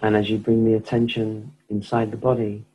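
A man guiding a slow meditation, speaking softly with long pauses between phrases. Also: fairly low pitch, completely flat delivery, breathy edge, low-quality recording. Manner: slow, soft-spoken, pausing often. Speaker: meditation teacher. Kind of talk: guided meditation.

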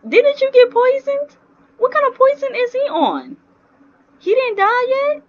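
Animated young woman streamer, slightly muffled sound. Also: extremely high pitch, unhurried. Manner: slangy, blunt, incredulous. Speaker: gaming reaction streamer. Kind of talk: casual reaction commentary. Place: indoors, dim room, on webcam. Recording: dull lo-fi mic, faint noise.

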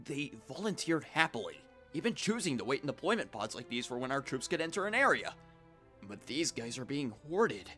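Guy doing a gruff hot-headed echidna commander, bright punchy voice, swings up and down, cartoon dub over music. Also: medium pitch, very animated, a little fast. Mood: grumbling, serious. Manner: blunt, impatient. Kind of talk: amateur comic dub acting. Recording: music behind the voice.

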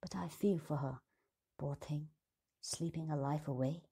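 A woman reading a fairy tale aloud, giving the young queen a calm, measured, commanding voice. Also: low-pitched, creaky, breathy edge, full character voice.

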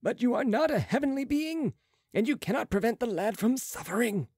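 A man's voice: extremely high pitch, lively intonation, a little fast, darkish tone, husky, dry recording, clean studio sound, voice pushed up, exaggerated voice. A man voicing a sceptical, mocking male physician who declaims in an audiobook. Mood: challenging. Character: sceptical, scornful male physician with materialist views. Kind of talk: audiobook character voice.

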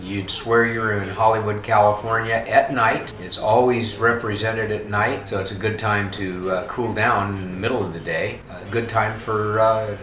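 A man in his seventies talks through a restaurant visit in a loose, chatty way, pausing often on filler sounds. His voice is low but bright and forward. The recording is roomy, with faint ambient noise behind him. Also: steady tone. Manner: easygoing, chatty, many "uh" fillers. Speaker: vlog co-host. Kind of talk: casual restaurant tour narration. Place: themed dine-in restaurant, indoors.

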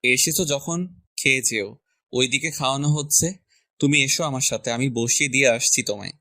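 A curt, dismissive young man with a voice pushed far down into gravelly depths, as a woman acts him out in a storybook romance. He talks briskly and with disdain, and the sound is close and dry. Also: very deep voice, pushed and bright, no room sound, pitched below the performer's own voice, full character voice. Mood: contemptuous. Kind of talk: storybook character voice.

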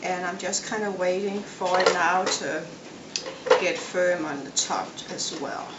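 Middle-aged woman, Danish accent, home cook, unhurried step-by-step explaining, roomy sound, heavy background noise. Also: medium pitch, dull audio. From Denmark. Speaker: home cook and cooking show host. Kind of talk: casual cooking demonstration. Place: home kitchen, indoors. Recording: echoey room, loud noise, kitchen clatter.

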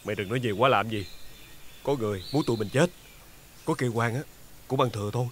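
A man's voice: medium pitch, fast talker, background noise, natural voice. An actor playing a defiant, loyal poor young man held captive, earnest and bitter, with a southern Vietnamese accent and dramatic period delivery. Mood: grave, bitter.

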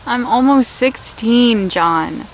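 Slow-spoken, tentative teenage girl. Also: a little high, poor audio. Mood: quiet, accepting. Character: tentative, gentle fifteen-year-old girl, soldier's daughter. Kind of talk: naturalistic audio drama acting. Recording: steady outdoor night-insect noise.

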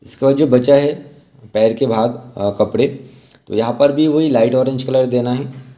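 A man narrating an informal step-by-step art tutorial as the instructor, speaking in a halting, instructive way. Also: medium pitch, steady tone, dark tone.

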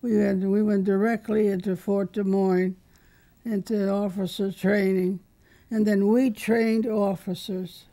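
A woman's voice: medium pitch, level tone, a little slow, mellow. An older woman telling her wartime memories in a plainspoken, blunt way during a sit-down interview at home. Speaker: interviewee, veteran. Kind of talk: documentary interview recollection. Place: living room with drapes.

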